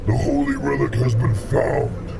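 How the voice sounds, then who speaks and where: A man voicing a proud, fanatical alien warrior in a sci-fi audio drama, with a gravelly voice and grand, formal, pompous delivery. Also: medium pitch, fairly even intonation, measured pace. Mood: solemn, proud. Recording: distant voice under loud music.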